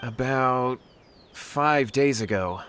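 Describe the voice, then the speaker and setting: A man's voice: mid-range voice, slow, a bit bright, slight background noise. An actor playing an anxious young townsman in an anime game, earnest, deferential and pleading. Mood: worried.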